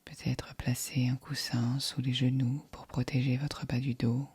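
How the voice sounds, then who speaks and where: A woman guiding a yoga nidra meditation in a soft, breathy, whispery voice, calm and soothing. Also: very deep voice, no room sound. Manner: calm, soothing, measured delivery. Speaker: meditation guide.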